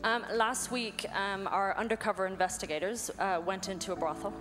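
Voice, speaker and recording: A woman in her thirties from Ireland, giving an earnest testimony on stage in short measured phrases. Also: medium pitch. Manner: earnest, measured, pausing for interpreter. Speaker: missionary guest. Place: large hall. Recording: echoey hall with music behind.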